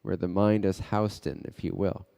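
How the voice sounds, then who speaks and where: A man leading a guided meditation as a teacher, speaking in short, unhurried phrases with pauses between them. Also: low voice, fairly even intonation, vocal fry, warm tone, clean tone. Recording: long reverberant echo.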